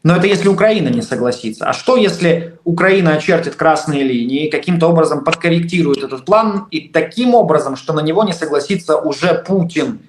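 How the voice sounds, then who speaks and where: Higher-voiced interviewer in echoey room. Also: male voice, expressive, a little fast, hardly any pauses. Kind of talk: interview questions.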